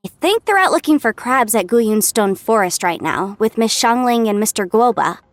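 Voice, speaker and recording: A woman's voice: on the high side, expressive, normal speed, no echo, natural voice. An actress playing a friendly, easygoing young woman in an anime-style video game, talking in a breezy, chatty way.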